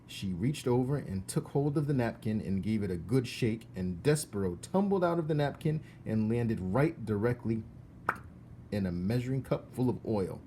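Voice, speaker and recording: A man reading a children's storybook aloud in an expressive narrating style. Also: lowish voice, normal speed, slight background noise.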